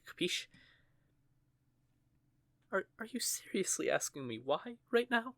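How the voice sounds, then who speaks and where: A man's voice: mid-range voice, a bit bright, dry recording. A young man voicing a nervous, stuttering young male witch in an audio roleplay, recorded close and dry. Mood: embarrassed. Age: young adult. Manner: stammering, flustered.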